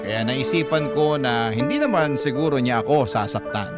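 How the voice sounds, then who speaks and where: A man's voice: medium pitch, animated, moderate pace. A man with a Filipino accent narrating a scripted first-person story in classic radio drama style. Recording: loud music bed under the voice.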